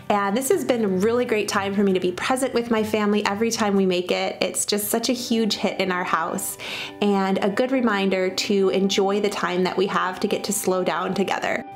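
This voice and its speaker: Chatty Midwestern woman narrating recipes. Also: medium pitch. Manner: cheerful, chatty, walks through recipe steps. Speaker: cooking channel host. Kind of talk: voice-over cooking narration. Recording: voice-over under loud music. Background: background music.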